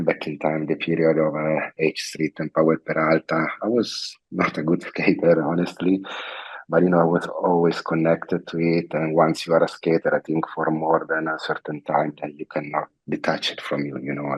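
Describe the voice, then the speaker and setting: A middle-aged Italian man with an Italian accent, a guest chatting casually, halting and repeating his phrases with many fillers. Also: extremely low pitch, monotone, slightly rough, muffled recording. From Italy. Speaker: guest artist. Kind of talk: casual interview chat.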